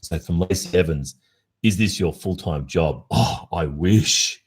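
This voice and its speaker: Very deep-voiced Australian man. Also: a little slow, husky. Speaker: ASMR roleplay streamer. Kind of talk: casual livestream chat answering listener questions.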